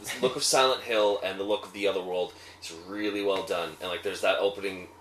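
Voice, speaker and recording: A man co-hosting a casual review discussion, critical and argumentative, picking his points out haltingly. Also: low voice, fairly even intonation, a bit bright. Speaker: co-host of a film review show. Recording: roomy echo with faint noise.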